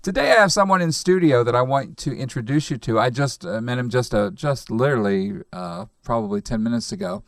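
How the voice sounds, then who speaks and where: A man's voice: medium pitch, animated, brisk, hardly any pauses, dry recording, clean studio sound. A middle-aged man hosting a morning radio show, chatty and joking, with many fillers. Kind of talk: casual radio interview. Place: radio studio.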